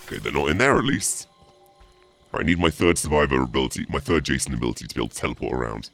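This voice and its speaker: A man with a very low, gravelly voice run through a pitch-lowering voice changer. He commentates on a game, swinging widely between muttering and theatrical, sweary taunts, with faint music behind him. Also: expressive, rapid-fire, a bit bright. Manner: theatrical mock-menacing taunts mixed with muttering. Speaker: streamer playing a game as the killer.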